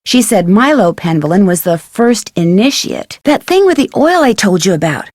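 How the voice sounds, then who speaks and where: A woman playing a curious, polite young female detective in scripted video game dialogue, clearly enunciated and inquisitive. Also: mid-range voice, very animated, moderate pace, darkish tone, dry recording, studio quality. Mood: thoughtful, confiding. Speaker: voice actor playing a game's lead character.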